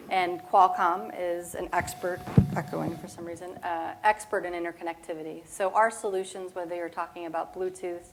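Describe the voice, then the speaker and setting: A woman speaking as a panelist in a conference room, energetic and conversational, with a loud voice on a clip-on microphone. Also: on the low side, a little hiss or hum, rough recording. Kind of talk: panel talk. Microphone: clip-on mic, then handheld.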